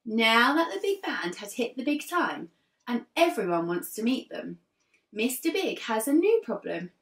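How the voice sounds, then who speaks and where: A woman in her thirties reading a children's story aloud like an infant teacher, expressively, with dramatic pauses between phrases. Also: a little high, big pitch swings, fairly bright tone. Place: living room sofa, indoors. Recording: roomy, distant mic, faint music behind.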